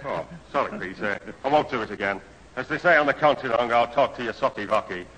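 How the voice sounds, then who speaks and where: Bright-voiced, muddled northern English bloke. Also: male voice, medium pitch, quick pace, breathy edge, muffled recording. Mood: matter-of-fact. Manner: comic, mangles long words. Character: muddled, good-natured middle-aged man, the family's friend. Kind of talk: 1970s radio sitcom acting. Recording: old radio recording, reverberant hall.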